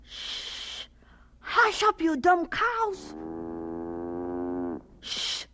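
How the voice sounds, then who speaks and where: Very high-voiced homeless farm boy. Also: very slow, bright, forceful tone, a little hiss or hum, heavily acted. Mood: hushed, weary. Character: homeless ten-year-old farm boy, earnest, pleading, timid. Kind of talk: radio drama acting.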